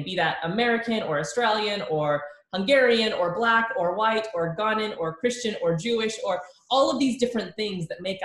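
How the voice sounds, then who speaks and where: A woman in her thirties hosting a video-call conversation as a course instructor, chatty and thinking aloud with quick asides. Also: medium pitch, roomy sound. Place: living room with bookshelves. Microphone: laptop webcam on a video call.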